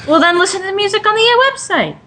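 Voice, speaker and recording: A woman's voice: very high-pitched, expressive, quick pace, bright, forceful tone. A woman in casual banter, cutting in with dry, offhand remarks. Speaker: commentary show panelist.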